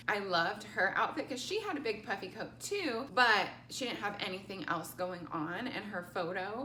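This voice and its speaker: A young woman vlogging casually to camera as a pageant coach, gushing and animated with lots of "like" and "I loved". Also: medium pitch, echoey room, slight background noise. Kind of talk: casual chatty commentary to camera.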